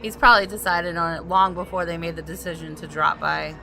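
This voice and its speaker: A woman gaming host reading visual novel narration aloud in an expressive storytelling style. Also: fairly low pitch, brisk, creaky. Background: background music.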